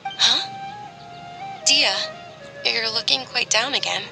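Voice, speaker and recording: An actress playing a gentle, tender-hearted young noble lady in a video game, soft and earnest in manner. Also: fairly high pitch, takes it slowly, vocal fry. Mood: bright, concerned. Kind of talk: scripted video game dialogue. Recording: music behind the voice.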